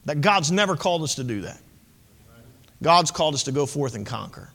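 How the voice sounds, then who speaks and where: A man's voice: a little high, big pitch swings, brisk, gravelly, a bit bright, breathy edge, big-room sound. A man in his late thirties, preaching a sermon as pastor, impassioned and conversational with asides to the congregation. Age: 30s.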